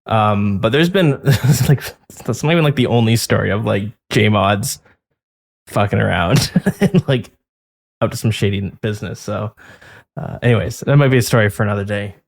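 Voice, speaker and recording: A man hosting a podcast in casual chat, his voice a bit hoarse from getting sick, rambling with many fillers and restarts. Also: lowish voice, normal speed. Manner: rambling, filler-heavy, self-interrupting. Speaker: podcast host.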